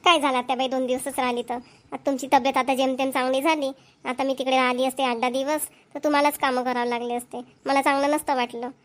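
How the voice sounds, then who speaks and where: Woman acting a high-voiced young village daughter-in-law. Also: little pitch movement, quick pace, natural voice. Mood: dutiful, earnest. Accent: Varhadi Marathi. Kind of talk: amateur cartoon comedy voice acting.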